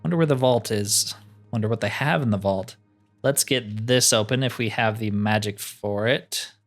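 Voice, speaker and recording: A man's voice: on the low side, normal speed. A man giving casual, wry video game commentary, thinking aloud as he plays. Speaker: gaming video host. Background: game music.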